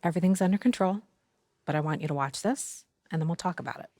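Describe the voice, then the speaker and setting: An actress playing a poised, controlled woman handling a young athlete, measured in a video game scene. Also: on the low side, natural voice. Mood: careful, serious. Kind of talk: naturalistic video game cutscene acting.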